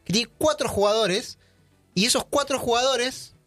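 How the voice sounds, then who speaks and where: Man, Argentine accent, high voice, explains figures point by point, dry close mic. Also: moderate pace, a bit bright, no room sound, faint music behind. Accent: Argentine Spanish. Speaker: data columnist on a sports show. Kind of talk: casual radio panel chat.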